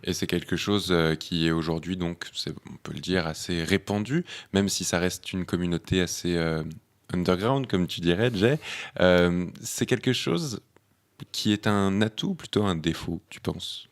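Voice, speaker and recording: A man hosting a podcast, putting questions to his guests in a measured, conversational way. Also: low voice. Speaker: podcast host. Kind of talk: conversational interviewing.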